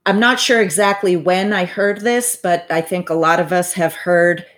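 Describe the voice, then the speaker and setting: A woman in her forties, a financial advisor, giving thoughtful, measured interview answers with frequent asides. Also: fairly low pitch. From United States, parents from Bolivia.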